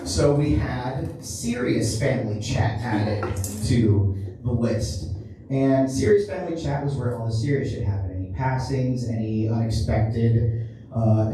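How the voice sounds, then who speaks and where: A man's voice: medium pitch, mellow, a little hiss or hum. A man doing a stand-up routine in a small theater, telling a long story in a loose, rambling way. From Cuban family. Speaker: stand-up comedian. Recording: echoey room from far off.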